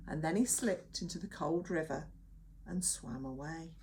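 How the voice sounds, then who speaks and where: A woman reading a children's story aloud as a nursery teacher, with dramatic emphasis and hushed moments. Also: sits low, unhurried, slight background noise.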